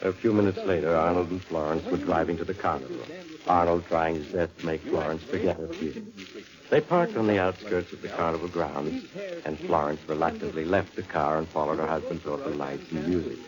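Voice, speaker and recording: An actor playing the grave storyteller of an eerie 1940s radio suspense series, narrating the tale. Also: male voice, a bass voice, a little fast. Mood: grave, suspenseful. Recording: muffled vintage radio recording.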